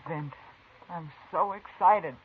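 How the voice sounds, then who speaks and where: A woman's voice: on the low side, takes it slowly. An actress playing an eager young frontier mother in a 1950s radio drama, with a breathless, earnest delivery. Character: eager young mother hoping to leave town. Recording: tinny vintage radio broadcast with hiss.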